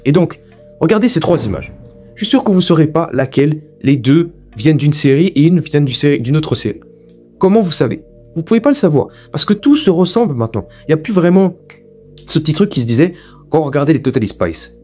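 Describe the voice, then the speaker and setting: A man's voice: a little high, big pitch swings, brisk, mellow, faint music behind. A young man hosting a video essay, giving informal, opinionated commentary in an emphatic, repetitive, colloquial way.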